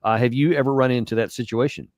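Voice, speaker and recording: A male host asks a long question in a mid-pitched voice, circling back and restarting his phrases. The sound is close and dry, with no room echo. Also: steady tone, fast talker, darkish tone. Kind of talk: interview questions.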